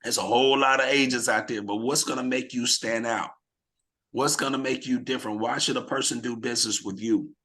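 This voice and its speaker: Hoarse guy pumping up his team on a video call, bright forceful voice. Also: medium pitch, steady tone, a little fast. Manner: hype, rallying the group with questions. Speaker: team leader hosting a real estate mastermind call. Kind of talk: energetic motivational video call.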